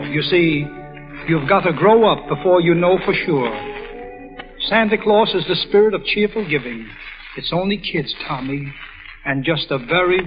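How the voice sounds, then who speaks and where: A man giving an earnest, reflective radio monologue in 1930s style, addressing the listener directly. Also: fairly high pitch. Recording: muffled vintage radio recording. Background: music.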